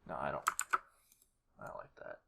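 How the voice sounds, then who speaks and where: A man giving a casual screen-recorded walkthrough, thinking aloud in a low-key, halting mutter. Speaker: video creator. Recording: faint noise with keyboard clicks. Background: computer keyboard typing and mouse clicks.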